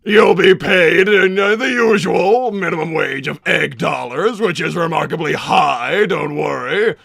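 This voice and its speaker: A man voicing an egomaniacal, scheming cartoon villain scientist in a theatrical, booming, shouting manner. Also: a little slow, gravelly, breathy edge, no room sound, clean studio sound, exaggerated voice. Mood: scheming, gloating. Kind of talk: improvised character livestream Q&A.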